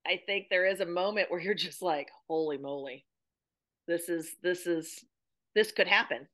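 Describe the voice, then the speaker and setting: A woman's voice: lowish voice, moderate pace, a bit bright. An American-accented woman chatting as a podcast guest, speaking emphatically and repeating phrases for effect. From United States. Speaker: podcast guest, playwright and theatre educator. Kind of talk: interview chat.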